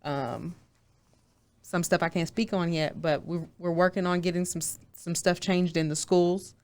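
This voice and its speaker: A young woman, a podcast guest, speaking in a measured, informative way. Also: fairly low pitch, steady tone, a little mellow. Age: young adult. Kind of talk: casual discussion.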